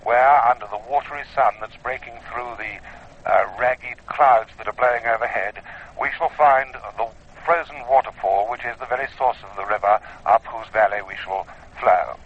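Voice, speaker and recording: A man giving measured, precise running commentary as an expedition reporter for radio. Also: mid-range voice, slightly rough. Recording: dull old radio field recording.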